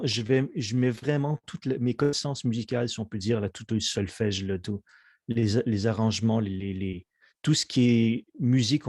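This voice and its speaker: Musician guest, middle-aged man, mid-pitched, hesitant casual talk, dry recording. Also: darkish tone, no echo. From Quebec, Canada. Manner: hesitant, many fillers and restarts. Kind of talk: casual interview chat.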